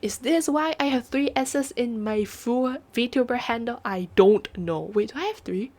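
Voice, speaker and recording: A woman's voice: a little high, unhurried, darkish tone. A young woman chatting casually on a live stream, sniffly from a runny nose, rambling and laughing at herself. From Singapore. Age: young adult. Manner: giggly, rambling, self-mocking. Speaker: VTuber streamer. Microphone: home streaming microphone.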